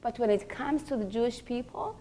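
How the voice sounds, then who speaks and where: A woman's voice: on the high side, brisk, mellow. A woman giving a religious lecture, teaching emphatically, repeating key phrases and posing rhetorical questions.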